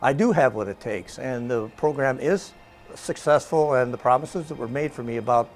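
A man's voice: medium pitch, normal speed, soft background music. A man in an outdoor testimonial interview speaking earnestly about his training program. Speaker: networking trainee.

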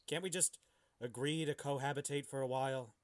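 A man reading the part of a grouchy, defensive alien parasite in a podcast story, huffy and halting. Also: medium pitch, fairly even intonation, no echo, close to an everyday voice. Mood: huffy, pleading. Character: grouchy, defensive alien parasite living inside a man's body. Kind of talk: hobby podcast dramatic reading.

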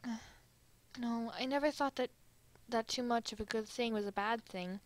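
A woman voicing a gentle, wistful anime boy, sincere and hesitant, in an amateur fan drama. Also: a little high, normal speed, no room sound, natural voice. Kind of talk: amateur fan dub roleplay.

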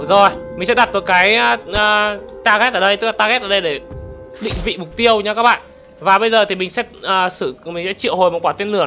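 High-pitched, bright-voiced man commentating gameplay. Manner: excitable, exclaiming, addresses viewers often. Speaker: gaming channel host.

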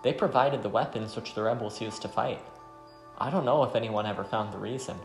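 A man's voice: fairly low pitch, fairly quick, creaky. A man voicing a grieving, determined woman archer in a visual novel. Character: grieving, determined young woman archer from war-torn homeland. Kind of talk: visual novel dialogue read aloud. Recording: roomy sound, faint music.

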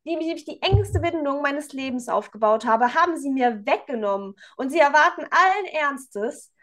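A woman playing a distraught, defiant teenage schoolgirl in a tabletop game, talking in an emotional, halting way. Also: high-pitched, animated, a bit bright. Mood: bitter, defiant.

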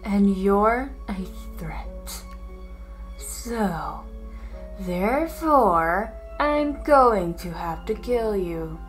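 A woman voicing a possessive yandere girl, quietly threatening. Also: lively intonation, soft background music. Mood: quiet menace. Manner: low, slow, quietly threatening.

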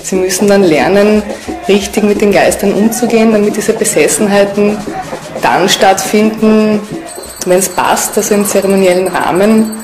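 A woman's voice: mid-range voice, normal speed, a crowd in the background, rough recording. A woman giving an explanatory answer as an interviewed expert.

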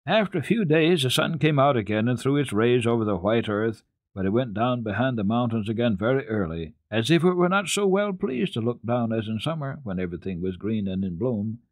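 A man giving an expressive, dramatic reading of classic children's literature as a radio storyteller, with deliberate phrasing. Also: mid-range voice, no echo, studio quality.

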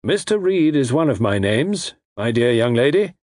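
A man's voice: medium pitch, sing-song, moderate pace, darkish tone, no echo, studio quality, pitched above the performer's own voice, natural voice. A man voicing an unseen villain in an audiobook, coldly menacing yet formally polite, precise and mock-regretful. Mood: suave menace.

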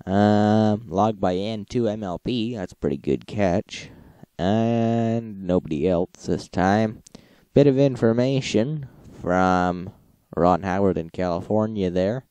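A man's voice: lowish voice, takes it slowly, mellow, dry recording. A man hosting an informal radio recap, narrating logs in a matter-of-fact manner.

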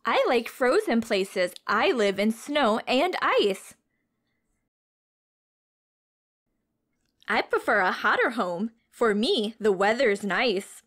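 A woman's voice: on the high side, big pitch swings, slow, few pauses, a bit bright, heavily acted. A woman voicing tiny talking bacteria in a children's storybook, playful, fussy and exaggerated. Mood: content. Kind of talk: children's storybook character reading.

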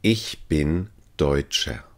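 A man's voice: extremely low pitch, very slow. A man teaching language phrases, saying each one three times carefully and evenly. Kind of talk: slow repeated phrase drill.

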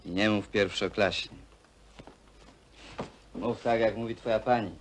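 Actor as a guarded working-class lad, low voice, slow delivery, laconic and quietly bossy, 1970s film drama. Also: male voice, steady tone, slight background noise, close to an everyday voice. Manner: laconic, short clipped replies. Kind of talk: naturalistic 1970s film acting.

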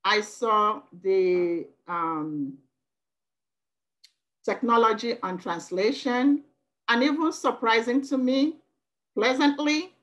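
A woman's voice: fairly high pitch, slow pace, long pauses, a bit bright, rough recording. Woman from Nigeria, Igbo native speaker, effusive and emphatic, laptop video call, committee member. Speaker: working group member. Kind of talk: video call meeting comment.

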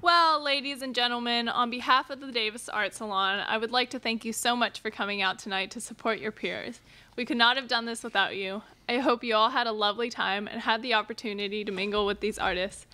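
A woman's voice: a little high, steady tone, a little fast, bright, forceful tone. A young woman playing a polite, gracious exhibition announcer, speaking formally in a student radio play. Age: young adult. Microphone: stage microphone on stand.

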